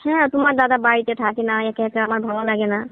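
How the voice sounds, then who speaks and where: Woman acting as flirtatious lonely sister-in-law, very rapid speech, high voice, coaxing, staged phone drama. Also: slight background noise, natural voice. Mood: wistful, flirtatious. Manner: coaxing, teasing, suggestive. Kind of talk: staged phone-call dialogue. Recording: tinny narrowband phone line.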